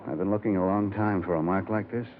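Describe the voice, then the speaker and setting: An actor playing a weary, bitter, crooked investigator in a 1950s radio drama, talking in a slow, heavy, halting way. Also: male voice, low-pitched, fairly even intonation, lightly acted. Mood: bitter pleading. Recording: dull, noisy 1950s radio recording.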